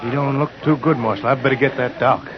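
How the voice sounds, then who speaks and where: An actor playing a rough, excitable frontier cowhand with an American accent in a vintage radio western. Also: male voice, mid-range voice, fast talker, natural voice. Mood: concerned. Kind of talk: 1950s radio western acting. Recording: muffled old radio, noisy crowd.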